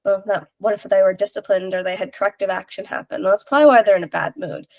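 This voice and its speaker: A woman's voice: mid-range voice, steady tone, fast talker, vocal fry, dry recording. A woman co-hosting a podcast, slightly stuffy with a head cold, giving casual, candid, practical advice. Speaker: podcast co-host and interviewer.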